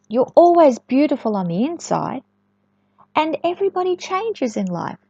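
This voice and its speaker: Animated woman storyteller for young children. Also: mid-range voice, vocal fry, dry recording, lo-fi sound. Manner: chatty asides, repeats words for emphasis.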